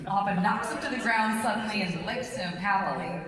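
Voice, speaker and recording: A woman's voice: medium pitch, lively intonation, fairly quick. Female narrator, mid-range voice, scripted table-read delivery with long hall reverb. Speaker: stage-direction narrator. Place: large reverberant convention hall. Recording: distant, echoey hall, faint noise.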